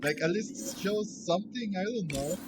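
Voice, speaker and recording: A man's voice: high voice, expressive, normal speed, background noise. A man giving casual, rambling gameplay commentary, thinking aloud as he plays. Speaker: gamer recording a playthrough. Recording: game music behind the voice. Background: video game music.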